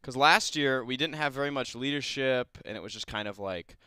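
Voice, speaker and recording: A man's voice: mid-range voice, a little fast, bright, forceful tone, clear, resonant tone, no echo, clean studio sound. Young man, rambling and earnest. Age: young adult. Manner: rambling, many restarts, earnest and upbeat. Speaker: student club president, studio guest. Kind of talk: casual interview chat. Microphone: studio mic.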